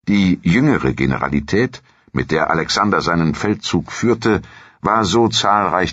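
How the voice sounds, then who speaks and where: A man with a gravelly, husky voice reads scripted documentary narration in a measured, formal storytelling manner. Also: extremely low pitch, a bit bright, dry recording, muffled recording.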